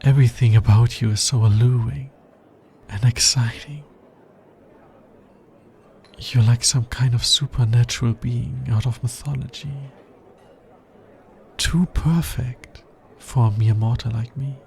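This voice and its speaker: A man's voice: medium pitch, steady tone, measured pace, pauses often, darkish tone, natural voice. A man playing an anxious, smitten alien scientist in an intimate ASMR roleplay, with hesitant, earnest and precisely formal speech.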